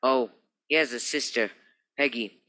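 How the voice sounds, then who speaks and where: Man playing a stiff, very bright-voiced attorney. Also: mid-range voice, normal speed, natural voice. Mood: evasive, haughty. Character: stiff, proper male attorney, guarded and formal. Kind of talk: old-time radio crime drama acting.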